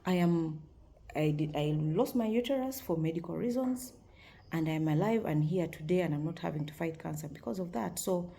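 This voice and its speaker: Deep-voiced frank woman in her forties. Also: normal speed, vocal fry, mellow. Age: 40s. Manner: frank, upbeat, laughs often. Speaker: vlogger. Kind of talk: casual talk to camera.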